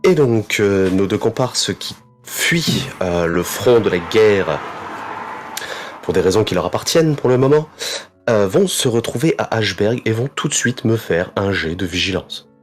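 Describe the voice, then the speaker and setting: A man running a tabletop role-playing game as game master, describing scenes in a measured way with some hesitation. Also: on the low side, animated, faint music behind. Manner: descriptive, measured narration with fillers.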